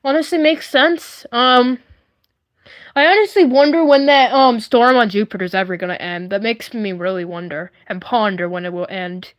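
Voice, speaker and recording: A woman's voice: a little high, normal speed. A woman hosting a casual livestream, rambling in a playful, silly way as she shows things off. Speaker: livestream host. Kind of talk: casual chatty livestream, showing handmade puppets.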